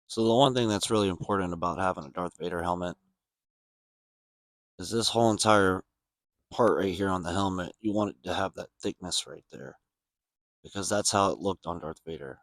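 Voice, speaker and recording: A man's voice: low-pitched, moderate pace, pauses often, a bit bright. A man speaking casually and plainly to viewers as he hosts a hobby video walkthrough.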